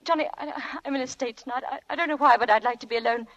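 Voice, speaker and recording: An actress playing an anxious, fearful young wife in a vintage radio drama, hesitant and tremulous. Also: female voice, a little high, very fast, a bit bright, lightly acted, poor audio. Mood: frightened, pleading.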